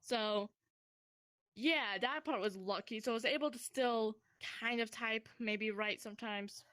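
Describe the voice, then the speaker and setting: A young woman casually telling a personal story to a friend in a rambling, animated way, swearing freely. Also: fairly high pitch, unhurried, dry recording.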